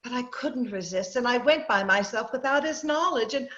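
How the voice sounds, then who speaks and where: A woman's voice: a little high, expressive, moderate pace, fairly bright tone. A woman playing a talkative, bossy middle-aged suburban wife, insistent and chatty, in an old-time radio drama. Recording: muffled, roomy video-call audio.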